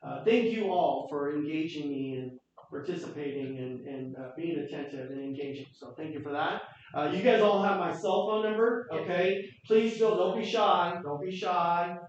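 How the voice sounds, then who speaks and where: A man's voice: on the high side, normal speed, slight background noise. A man from South Korea speaking as guest at a team meeting, chatty and upbeat, with fillers and repeats. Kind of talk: casual talk to a team meeting. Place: office meeting room with Zoom audience. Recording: echoey meeting room, mic far off, dull sound.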